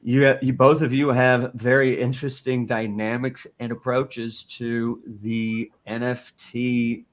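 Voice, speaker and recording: An upbeat man hosts a casual online chat, his voice sitting in an ordinary middle pitch. He rambles a little and restarts his sentences as he goes. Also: flat delivery, slow pace, few pauses. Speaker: host.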